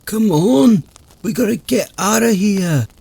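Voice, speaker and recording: A man voicing a panicked young male friend who talks loudly and urgently. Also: sits high, expressive, measured pace, no room sound, voice pushed up. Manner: frantic, loud, urgent.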